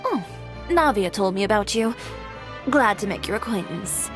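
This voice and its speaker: A woman's voice: medium pitch, lively intonation, moderate pace, natural voice. A woman voicing a cool, dry boutique owner. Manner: cool, dry, matter-of-fact. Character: composed, businesslike female boutique owner and designer. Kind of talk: game voice acting. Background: game music.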